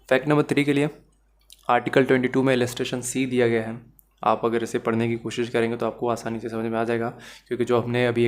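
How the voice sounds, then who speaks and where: A Pakistani man delivers an explanatory lesson in a medium-pitched voice. He sounds like a lecturer, laying out points and examples didactically. He often switches into English phrases as he goes. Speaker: law lecturer.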